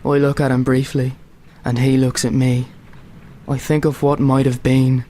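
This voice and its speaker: A man voicing a doomed young soldier, flat and grim. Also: medium pitch, a little slow, a little hiss or hum, close to an everyday voice. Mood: grim calm. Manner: steady, grim first-person narration. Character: young male soldier refusing to shoot his brother. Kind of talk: amateur radio play acting.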